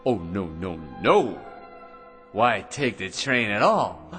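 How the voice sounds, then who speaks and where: An American actor in a radio drama playing a cocky, ordinary man who rambles smugly and stammers with excitement. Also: fairly low pitch, slow pace, heavily acted. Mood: gleeful scheming. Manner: rambling, smug, stammering excitedly, pestering with tag questions. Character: cocky, chattering, ordinary man full of crackpot schemes. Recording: radio drama with music underneath.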